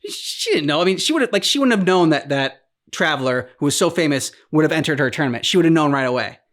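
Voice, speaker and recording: A man co-hosting a reaction channel, casually working through his points in a halting way and acting out imagined dialogue. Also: fairly high pitch, expressive, a bit bright.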